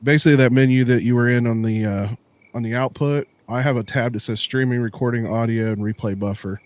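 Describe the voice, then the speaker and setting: A man's voice: medium pitch, steady tone, fairly quick, darkish tone, dry recording. A man in casual chat, explaining streaming settings with many restarts. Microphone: desk mic through a mixer.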